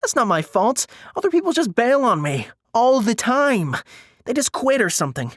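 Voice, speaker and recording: A man's voice: very high-pitched, very animated, moderate pace, a bit bright, no echo, studio quality, pitched above the performer's own voice, exaggerated voice. A man voicing a sassy, pushy young woman in audiobook dialogue, blunt and insistent as she pushes her friend. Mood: defensive, hurt.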